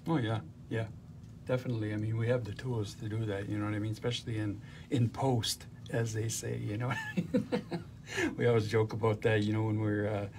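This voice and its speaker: A man's voice: fairly low pitch, flat delivery, brisk, background noise. A man hosting a radio show, chatting in an easygoing, agreeable way with lots of fillers. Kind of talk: casual radio chat.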